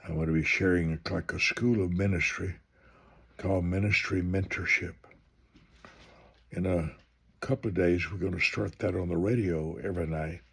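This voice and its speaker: Older man announcing a live stream, husky voice. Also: low-pitched, steady tone, normal speed. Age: 70s. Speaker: minister.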